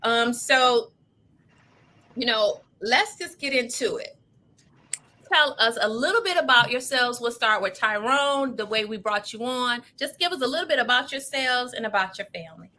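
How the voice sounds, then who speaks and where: A woman with an American accent speaks as the host of a panel show. Her voice sits fairly high and rings out very bright and forceful. Her delivery is upbeat and presenterly. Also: animated, normal speed. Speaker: host of a self-care show.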